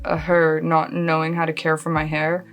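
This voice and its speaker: A woman's voice: low-pitched, completely flat delivery, normal speed, soft background music. A woman in her late thirties from Australia whose mostly Californian accent lets some Australian slip through. She chats her way through a casual makeup tutorial, rambling and self-deprecating, and laughs often. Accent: mostly Californian with some Australian coming through. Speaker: beauty vlogger. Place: at home, lit by a close lamp.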